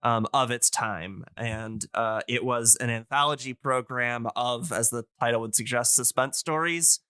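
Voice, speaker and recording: A man with a bright, smooth voice in a dry, close recording. He talks casually, as an enthusiast explaining on a podcast. His delivery is halting and rambling, padded with fillers. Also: mid-range voice, no echo. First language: English. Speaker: podcast speaker on old-time radio.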